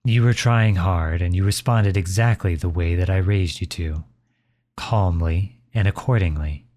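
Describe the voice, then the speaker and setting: Man playing a caring middle-aged father, deep voice, unhurried, tender, reassuring, ASMR roleplay. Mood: proud reassurance. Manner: tender, reassuring, softly chuckling. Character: gentle, caring middle-aged father comforting his child. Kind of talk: ASMR roleplay, intimate acted monologue.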